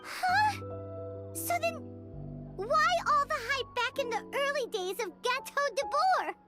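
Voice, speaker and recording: A woman voicing a small, excitable childlike fairy companion in a video game, exclamatory and squeaky. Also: takes it slowly, loud music behind the voice, heavily acted. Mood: puzzled. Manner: squeaky, exclamatory, talks of self in third person.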